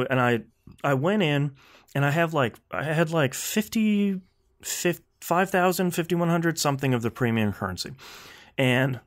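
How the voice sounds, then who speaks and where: A man co-hosting a casual gaming podcast, talking in a blunt, slangy way with animated complaints. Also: fairly high pitch. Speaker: podcast co-host.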